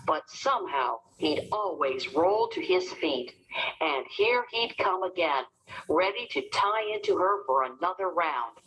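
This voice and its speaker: A woman reads a children's adventure story aloud. Her voice sits a little low for a woman, and she tells it expressively, with a storyteller's sense of drama. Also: expressive, measured pace, a bit bright, a little hiss or hum, rough recording. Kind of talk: read-aloud storybook narration.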